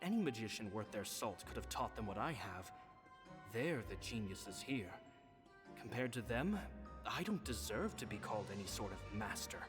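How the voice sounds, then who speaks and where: A man voicing a gentle, humble stage magician. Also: mid-range voice, lightly acted. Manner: gentle, earnest diary reading. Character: humble, kindly adult male stage magician. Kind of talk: game voice-over narration. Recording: game audio with long reverb and music.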